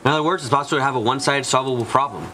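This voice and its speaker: A man voicing a solemn young female detective in a visual novel fan dub, speaking in a measured, formal, explanatory way. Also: mid-range voice, bright, forceful tone, close to an everyday voice. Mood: solemn, reassuring. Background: background music.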